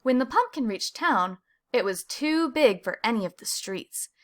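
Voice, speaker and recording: Higher-voiced woman reading a children's story. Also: lively intonation, normal speed. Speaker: storybook reader. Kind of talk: expressive children's picture book read-aloud.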